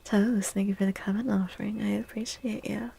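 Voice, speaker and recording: A woman streaming as a tarot host, speaking gently in a breathy ASMR style that often drops to a whisper, close to the microphone. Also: medium pitch, steady tone. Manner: gentle, slow, drawn-out phrasing. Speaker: livestream host doing tarot readings. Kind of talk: soft-spoken ASMR, chatting to viewers.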